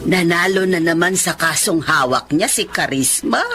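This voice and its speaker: A woman's voice: on the low side, lively intonation, normal speed, sharp tone, husky, exaggerated voice. A woman playing a nosy, blunt friend in a radio drama, gossipy and exclamatory. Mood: curious, impressed.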